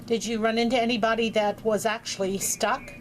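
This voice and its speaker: A woman's voice: on the high side, little pitch movement, fairly quick. A woman conducting an informal interview, asking questions in an attentive, appreciative manner.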